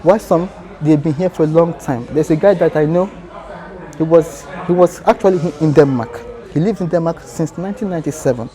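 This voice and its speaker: A man's voice: on the high side, a little fast, warm tone. A man with a Nigerian accent answering interview questions earnestly, in short phrases that restart.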